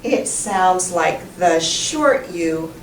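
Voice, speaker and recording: A middle-aged American woman giving a deliberate, emphatic pronunciation lesson, carefully enunciating sounds and words. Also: fairly low pitch, animated. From United States. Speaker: English pronunciation teacher. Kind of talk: instructional pronunciation lesson to camera. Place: small classroom with whiteboard, indoors. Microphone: camera mic at a distance. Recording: roomy, distant camera mic, faint noise.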